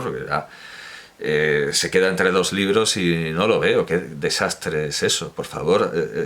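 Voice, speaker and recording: Deep-voiced man rambling about art. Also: a bit bright. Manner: rambling asides with frequent fillers and self-corrections. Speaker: host. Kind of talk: casual lecture on art history.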